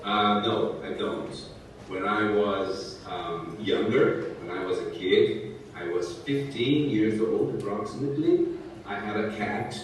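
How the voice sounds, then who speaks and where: A man in his sixties from Brazil, a native Portuguese speaker, talks to a class in a slow, careful way and keeps checking that everyone follows. His voice sits a little low. The sound is very echoey and picked up from a distance, with a faint hiss. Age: 60s. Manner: speaks slowly and clearly, checking understanding with "okay?". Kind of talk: talking to students in a language class.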